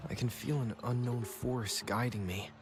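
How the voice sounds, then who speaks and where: A man plays a young wandering hero in a video game. He is calm and serious, with a measured, reflective delivery at a medium pitch. Music is clearly heard behind him. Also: lightly acted. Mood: pensive. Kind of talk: video game character dialogue. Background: game background music.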